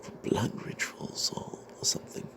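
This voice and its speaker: A man telling a fantasy tabletop tale in a breathy ASMR whisper, halting with long pauses. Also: background noise.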